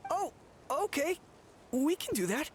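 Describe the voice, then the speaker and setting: A woman's voice: sits high, big pitch swings, a little slow, a little hiss or hum. A woman playing a timid, grateful traveler in a video game, hesitant and stammering. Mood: meek.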